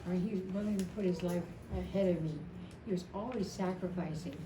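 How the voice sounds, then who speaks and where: A woman in her seventies giving an informal, rambling and emotional personal tribute at a memorial, telling stories in a halting way. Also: fairly low pitch. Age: 70s. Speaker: widow speaking at a memorial. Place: indoor family memorial gathering. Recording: echoey, distant mic, noisy gathering room.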